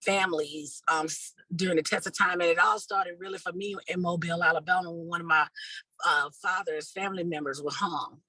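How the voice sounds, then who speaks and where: A woman with a husky voice answering interview questions as an activist and organizer, earnest and halting, often repeating words. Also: lowish voice, steady tone, pushed and bright, dry recording, low-quality recording.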